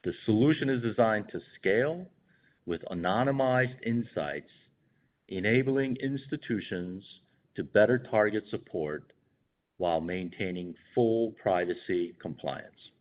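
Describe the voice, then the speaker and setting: A man speaking as a company executive, reading scripted earnings call remarks in a formal, measured way. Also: lowish voice, animated. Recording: dull, band-limited conference call audio.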